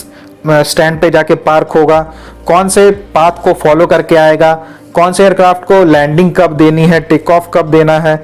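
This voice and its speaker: Fairly high-voiced man explaining step by step. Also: darkish tone. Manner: patient explaining, many lists and repetitions; mixes English terms into Hindi. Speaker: educational video host. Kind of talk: explanatory lesson.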